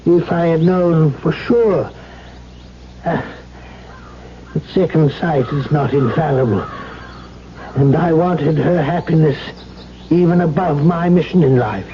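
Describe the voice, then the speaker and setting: An actor playing a grave, sorrowful old alchemist, solemn and deliberate, in a 1970s radio drama. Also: male voice, fairly high pitch. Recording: dull, hissy 1970s radio broadcast.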